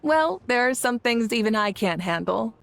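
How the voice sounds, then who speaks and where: A woman voicing a calm, capable woman who helps the heroes in a video game, speaking in a gently teasing manner. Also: on the high side, moderate pace, creaky.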